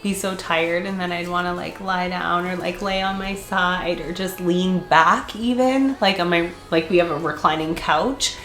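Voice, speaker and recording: A woman's voice: lowish voice, steady tone, normal speed, few pauses, a bit bright. A congested woman vlogger chatting casually, laughing often and filling her speech with fillers. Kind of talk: casual chat. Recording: echoey room.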